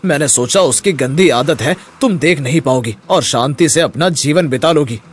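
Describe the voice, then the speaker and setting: A man's voice: fairly high pitch, moderate pace, husky. A man voicing a stern, remorseful older landowner father, grave and earnest, in a moral story.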